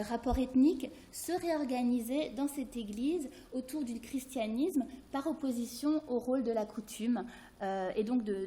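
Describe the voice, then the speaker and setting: Middle-aged French woman academic; hesitant presenter, fairly high, mellow voice. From France. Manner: hesitant, many fillers and restarts. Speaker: anthropology professor presenting her research.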